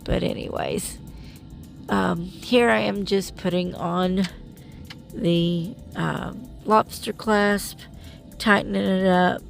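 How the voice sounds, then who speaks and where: A woman's voice: mid-range voice, steady tone, slow, vocal fry, soft background music. A woman vlogger narrating her beading in a casual voiceover, with a rambling, self-deprecating way of talking and a stuffy, sniffly nose.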